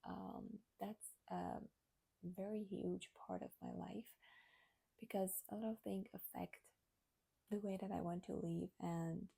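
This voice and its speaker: Soft creaky-voiced woman vlogger in her 20s. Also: mid-range voice, flat delivery, measured pace. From Iran. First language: Armenian. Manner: soft, hesitant, many fillers and restarts, often near a whisper. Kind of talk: candid personal vlog.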